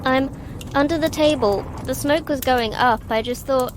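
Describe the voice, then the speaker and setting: A woman playing a frightened young girl in an audio drama, timid and halting. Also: high voice, background noise, natural voice. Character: frightened young girl trapped in a burning house. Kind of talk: scripted audio drama acting.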